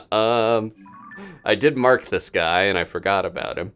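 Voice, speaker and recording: A man's voice: fairly low pitch, expressive, takes it slowly, fairly bright tone, clean tone, faint music behind. A man doing casual live video game commentary, thinking aloud in a playful, rambling, muttering way.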